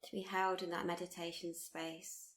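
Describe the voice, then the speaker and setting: A woman teaching guided meditation, speaking in a soothing, gently encouraging, unhurried way with repeated phrases. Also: on the low side, level tone. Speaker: meditation teacher leading a body scan. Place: live workshop.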